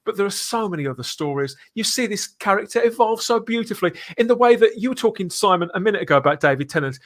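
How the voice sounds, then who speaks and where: A man's voice: high voice, lively intonation, quick pace, fairly bright tone. A man hosting a live fan podcast, talking in an earnest, emphatic way. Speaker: podcast host.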